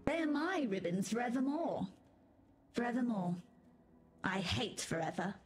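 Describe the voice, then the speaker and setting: A woman playing a mad, bitter, undying queen in a video game, muttering and repeating herself theatrically. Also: on the high side. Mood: unhinged, bitter. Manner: unhinged, repetitive, theatrical, muttering.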